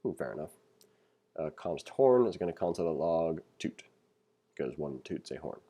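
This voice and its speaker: A man's voice: a bass voice, big pitch swings, moderate pace, warm tone, husky. A man giving a casual, conversational programming tutorial as instructor, reading along and adding opinionated, self-deprecating asides.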